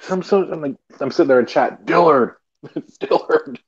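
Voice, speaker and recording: A man's voice: fairly high pitch, moderate pace, breathy edge. A man chatting as a guest on an online show, hesitant and searching for words with fillers. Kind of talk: casual online chat. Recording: dull lo-fi online call audio.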